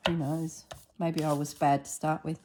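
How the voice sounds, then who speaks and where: A woman with a slightly husky voice narrating a casual craft tutorial at her table, thinking aloud as she works. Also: sits low, flat delivery, noisy background. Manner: unhurried, thinks aloud while working. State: recovering from illness, slightly husky throat. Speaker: craft vlogger.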